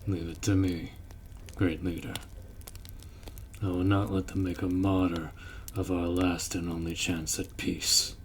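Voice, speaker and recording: Man playing a deep, measured orc warrior commander. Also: little pitch movement, a little hiss or hum. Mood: solemn, resolute. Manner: formal, commanding, measured delivery. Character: male orc warrior commander, stern, honorable, protective. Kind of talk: scripted fantasy audio roleplay acting.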